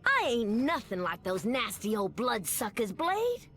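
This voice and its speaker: Sassy, creaky-voiced Southern superheroine. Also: female voice, on the high side, huge pitch swings, a little slow, fairly bright tone, full character voice. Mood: sassy, confident. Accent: American South. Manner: sassy, folksy, uses pet names. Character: sassy, flirty, proud Southern superheroine, loyal wife. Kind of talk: video game voice acting.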